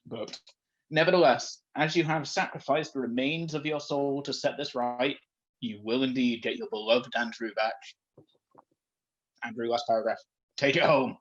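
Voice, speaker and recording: A man's voice: mid-range voice, steady tone, moderate pace, fairly bright tone, dull audio. A man doing a live comedic read-aloud of a story, jokey and apt to break off into asides. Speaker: comedy performer reading fan fiction.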